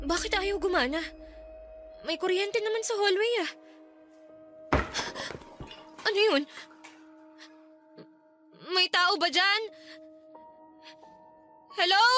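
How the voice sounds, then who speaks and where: A frightened young woman in a radio drama. Her voice is extremely high and piercingly bright, with an anxious, hesitant, tearful manner. Faint music runs behind her. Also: full character voice. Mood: fearful, searching. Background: background music.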